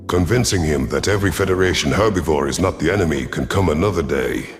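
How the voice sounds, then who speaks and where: A man reading a dramatic science fiction story as a scripted narration, in a measured, serious manner. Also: rumbling low. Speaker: audiobook narrator. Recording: music behind the voice.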